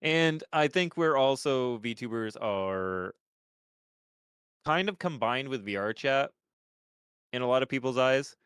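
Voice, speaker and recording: A man's voice: medium pitch, lively intonation, slow pace, long pauses, a bit bright, clean tone, no echo, clean studio sound. A young man with a stuffed-up nose, chatting on a podcast in a rambling way, with lots of restarts and casual swearing. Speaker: podcast host.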